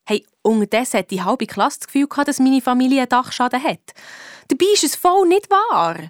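A woman's voice: high-pitched, big pitch swings, a little fast, no echo, studio quality. A woman voicing a young girl detective with a Swiss accent, eager and blunt, telling her story colloquially in a children's radio play. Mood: frank, narrating.

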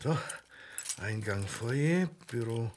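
A man's voice: mid-range voice, animated, takes it slowly, a little mellow, a little hiss or hum. An actor playing a timid male freight clerk who daydreams of being a hero, speaking in a hesitant way. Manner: hesitant, then eager. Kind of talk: radio play acting.

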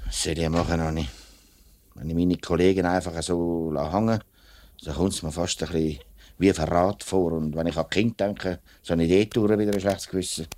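Honest swiss german working guy, middle aged, old radio drama, earnest and quick talking. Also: low voice, steady tone, a little mellow, a little hiss or hum. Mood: troubled. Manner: plain-spoken, earnest. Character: upright, outspoken workman and union man, family father. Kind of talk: 1950s Swiss radio play acting.

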